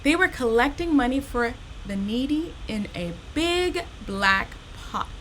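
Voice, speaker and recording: Slow-paced, high-voiced woman reading to children. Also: a little hiss or hum. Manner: animated, expressive, addresses children directly with questions. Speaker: storytime host reading to children. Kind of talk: children's read-aloud and encouraging direct address.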